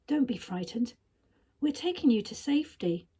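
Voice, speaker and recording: A woman's voice: a little high, big pitch swings, normal speed, dark tone, breathy edge, pitched above the performer's own voice, exaggerated voice. A woman voicing a young girl in a storybook, earnest and tender, coaxing in a whispery way. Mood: tender, reassuring.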